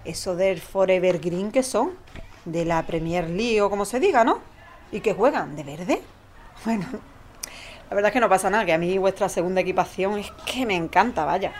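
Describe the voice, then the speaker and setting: An actress as an effusive, folksy woman from an audio play, chatty and cheerful. She has a mid-pitched voice and an Andalusian accent, over light ambient noise. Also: lively intonation, moderate pace, lightly acted. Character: chatty, cheerful office-worker mother, keen football fan. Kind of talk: conversational audio fiction acting.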